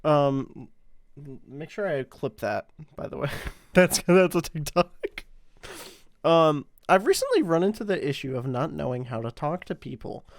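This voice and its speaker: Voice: medium pitch, expressive, moderate pace, no room sound. Casual podcast co-host, dry close sound. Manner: slangy, informal. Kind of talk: casual chat.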